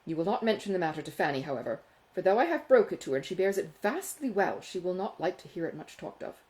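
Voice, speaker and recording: A woman voicing a self-important, money-minded gentleman in a Regency audiobook, exclaiming and confiding in a pompous manner. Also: lowish voice, sing-song, fast talker, rough recording. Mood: confidential, pompous. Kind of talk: acted audiobook dialogue from a Regency novel.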